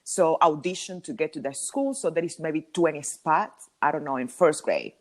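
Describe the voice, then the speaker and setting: Low-voiced woman with a Cuban accent. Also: normal speed. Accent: Cuban Spanish. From Cuba. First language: Spanish. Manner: lively storytelling, frequent ums and laughs. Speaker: podcast guest, hair colorist. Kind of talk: casual interview talk.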